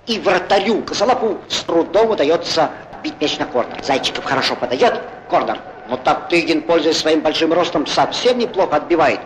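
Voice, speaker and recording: A man playing an excitable, good-humoured football commentator in a 1940s cartoon, chatty and theatrical, on a noisy old film soundtrack. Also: a little high, a little fast, natural voice. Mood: lively, engaged. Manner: chatty, theatrical, exclaiming, correcting himself. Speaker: sports commentator.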